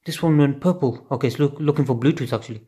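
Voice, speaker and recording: A man's voice: mid-range voice, fast talker, dark tone, slightly rough. A man casually unboxing and trying out a gadget, thinking aloud and swearing offhandedly, recorded on a phone at home at night. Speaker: unboxing video host. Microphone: phone camera filming hands on a table.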